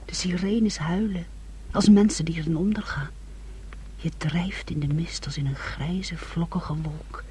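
An actress in a Dutch radio play playing a moody, brooding young woman with a very breathy, husky voice. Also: fairly low pitch, fairly even intonation, measured pace, mellow, a little hiss or hum, natural voice. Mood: hushed, haunting. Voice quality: very breathy, husky, often near whisper. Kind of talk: Dutch radio drama acting.

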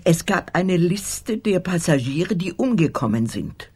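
An older woman playing a loyal, curt old housekeeper in a radio crime drama, terse and guarded with a very husky voice. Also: lowish voice, big pitch swings, a little slow, darkish tone, heavily acted. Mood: curt, reticent. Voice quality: very husky, breathy.

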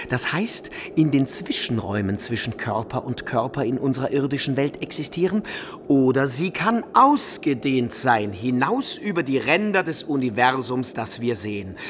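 An actor playing an eccentric, obsessive medieval wandering scholar in a German radio play, pedantic, theatrical and emphatic. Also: male voice, mid-range voice, a little hiss or hum, close to an everyday voice. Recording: studio radio drama over music.

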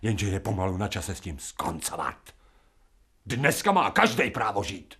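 A man's voice: on the low side, big pitch swings, noisy background. An actor playing a crude, insolent man made from a dog, talking in coarse slang with a sulky whine, in a radio drama. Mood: defiant. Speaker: Sharikov.

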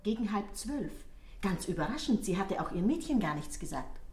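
A woman's voice: mid-range voice, big pitch swings, brisk, darkish tone, natural voice. A woman playing an obliging, chatty concierge in a radio drama, with an eager, polite, gossipy manner. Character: obliging, chatty female concierge of an apartment house. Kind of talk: German radio drama acting. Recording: roomy, echoing sound.

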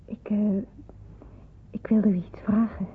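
An actress playing a polite, timid young woman hiding in wartime, hesitant and soft-spoken, in a radio drama. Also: mid-range voice, level tone, slow, husky. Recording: muffled, phone-like old radio drama recording.

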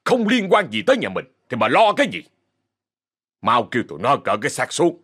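A man voicing a cold, domineering rich old landowner who speaks gruffly and commandingly. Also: mid-range voice, huge pitch swings, a little fast, fairly bright tone, full character voice. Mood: scolding.

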